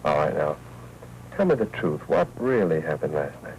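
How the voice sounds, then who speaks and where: An American actor playing a wry, probing newspaper reporter in clipped 1940s film noir style. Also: male voice, a bass voice, expressive, moderate pace, a little mellow. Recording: muffled, noisy 1940s film soundtrack.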